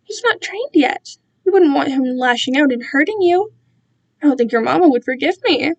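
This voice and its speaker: A woman's voice: high voice, animated, normal speed, darkish tone, muffled recording, voice pushed up. A woman voicing a condescending male captor in a story, cooing and patronizing as he keeps control. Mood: delighted, cooing.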